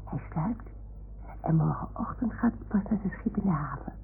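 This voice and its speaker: Radio play role: naive little toy dog, inquisitive and childlike, creaky voice, tinny noisy old recording. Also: female voice, fairly low pitch, lively intonation, moderate pace. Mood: naive, confiding.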